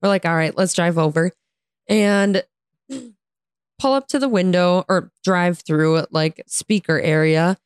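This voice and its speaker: American woman, lowish hoarse voice, sarcastic casual storyteller, slangy, exasperated, dry sound. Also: slow, no echo. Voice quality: raspy, tired voice. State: hoarse from talking all day. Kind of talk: casual chat, animated storytelling.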